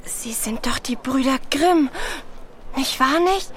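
A woman's voice: high-pitched, takes it slowly, fairly bright tone. A woman playing a frightened, scrawny teenage orphan girl in an audio drama, hesitant and stammering. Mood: nervous, pleading. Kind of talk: German audio drama acting.

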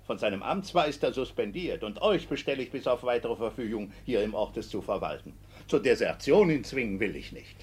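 Strict old-school radio play judge, formal, swoopy delivery, bit husky. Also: male voice, mid-range voice, very animated, a little fast, a little mellow. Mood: measured, official. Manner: formal, declamatory stage diction. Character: commanding male court inspector, stern but fair. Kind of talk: classic German radio play acting.

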